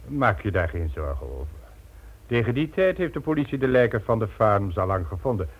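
Crime boss, radio drama, self-assured, measured, widely swinging intonation, slightly husky. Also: male voice, on the low side, big pitch swings. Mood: calculating, assured. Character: cool, calculating male crime boss, methodical and self-assured. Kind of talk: Dutch radio drama acting. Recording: dull old radio recording.

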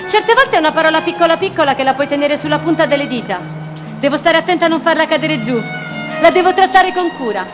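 An actress playing a fragile, dreamy young woman in an introspective radio theatre monologue, in short deliberate phrases. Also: sits high, expressive, music in the background. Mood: dreamy, absorbed.

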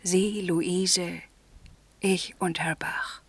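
An earnest, discreet governess in a 1960s radio play. She speaks slowly and haltingly in a breathy hush. The sound is close and dry. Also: fairly low pitch, fairly even intonation, no room sound, exaggerated voice. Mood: conciliatory. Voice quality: breathy, hushed. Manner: halting, careful. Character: discreet, earnest English governess, soft-spoken go-between.

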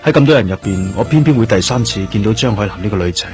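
Low-voiced, pensive Hong Kong detective played by an actor. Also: male voice, expressive, quick pace, a little mellow, soft background music, lightly acted. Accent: Hong Kong Cantonese. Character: pensive male plainclothes police detective, quietly probing. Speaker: actor playing a police detective. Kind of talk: Cantonese radio drama acting.

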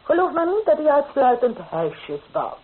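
A woman's voice: sits high, very animated, normal speed. Genteel society mother, tinny radio sound. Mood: proud, genteel. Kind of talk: Dutch radio drama acting. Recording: tinny old radio play recording.

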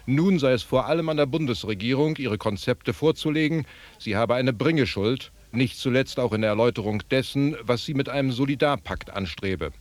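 A man's voice: medium pitch, dry recording. A man reading a scripted political news report as a radio correspondent.

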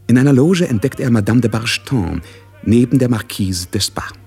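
An actor narrating a German radio play as a wryly observant storyteller, in scripted literary style. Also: male voice, medium pitch, lively intonation, moderate pace, gravelly, darkish tone, husky, soft background music, heavily acted. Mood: observant, measured.

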